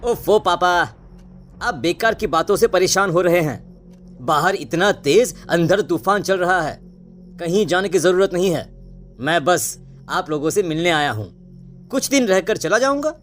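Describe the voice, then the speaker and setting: A man playing a carefree, headstrong eighteen-year-old son in an animated story drama. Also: high-pitched, moderate pace, soft background music. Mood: dismissive. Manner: carefree, insistent.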